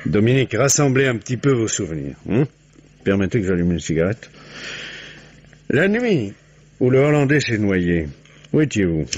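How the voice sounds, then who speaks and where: Patient police commissioner, low husky voice, probing, paternal, 1950s radio drama, muffled old recording. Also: male voice, very animated, normal speed, pauses often, a little mellow, dry recording. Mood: patient questioning. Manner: probing, paternal, conversational with muttered prompts. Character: shrewd, patient male police commissioner questioning a witness. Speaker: actor in a radio mystery play.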